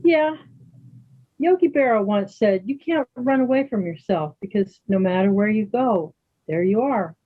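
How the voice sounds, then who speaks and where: A woman playing a calm, kind, sporty Canadian woman in a readers theater dialogue. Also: medium pitch, measured pace. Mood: friendly, matter-of-fact. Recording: thin phone-like sound.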